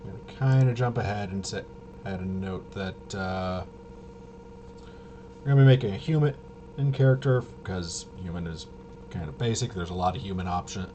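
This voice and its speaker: A man giving a casual, unscripted walkthrough as a host, rambling and halting, with muttered asides to himself. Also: deep voice, muffled recording, over music. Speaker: host of a tabletop RPG review video.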